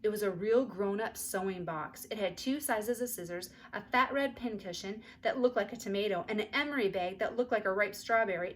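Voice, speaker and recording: A woman narrating a children's story aloud in an expressive storytelling style, with faint noise behind her. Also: mid-range voice.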